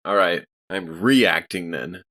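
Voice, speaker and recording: A player in a tabletop roleplaying game, chatting casually and excitably about rules and dice. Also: sits low, slow.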